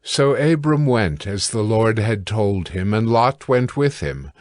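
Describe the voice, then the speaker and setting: Guy narrating the bible, lowish voice, formal measured reading, very dry recording. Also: animated, no echo. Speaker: scripture narrator. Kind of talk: scripted scripture narration.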